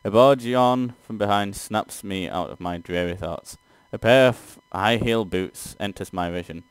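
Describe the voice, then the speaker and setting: A man's voice: on the low side, unhurried, smooth, no echo. A man doing a let's play, reading a text game aloud and reacting casually.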